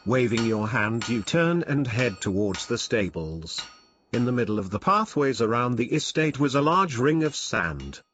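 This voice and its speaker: A man reading a scripted story for children as its narrator. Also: mid-range voice.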